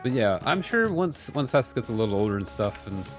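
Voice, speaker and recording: A man's voice: mid-range voice, very animated, a little fast. A man doing casual live commentary as a game streamer, chatty and playful. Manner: playful, chatty, mild joke swearing. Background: game music.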